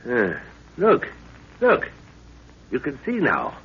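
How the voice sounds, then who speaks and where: A man's voice: mid-range voice, huge pitch swings, slow pace, slightly rough, heavily acted. An actor playing an eager, authoritative middle-aged scientist explorer and father in a 1930s radio adventure. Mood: absorbed, eager. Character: Lorna's father, excitable older scientist explorer. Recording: scratchy muffled 1930s radio recording.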